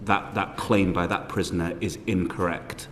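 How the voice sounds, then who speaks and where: Low male committee questioner, polite and measured, reverberant hall sound. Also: fairly even intonation, a bit bright. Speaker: committee member questioning witnesses. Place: parliamentary committee room. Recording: reverberant hall with faint noise.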